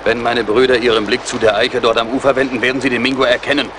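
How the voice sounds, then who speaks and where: An actor in a German radio play as a wise, watchful warrior, measured, formal and solemn. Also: male voice, mid-range voice, flat delivery, natural voice. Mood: grave, watchful. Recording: clear battle and outdoor noise behind.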